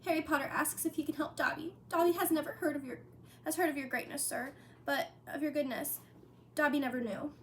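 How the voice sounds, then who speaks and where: A woman voicing a small, servile, tearful male house elf with a high-pitched voice who speaks of himself in the third person. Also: steady tone, brisk, slight background noise, lightly acted. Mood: reverent.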